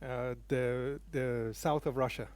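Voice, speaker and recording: A man's voice: mid-range voice, fairly even intonation, slow, darkish tone, no room sound. A man from Tunisia joining a live cooking show, bantering casually and throwing in playful jokes. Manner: joking, playful interjections. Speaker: guest co-host.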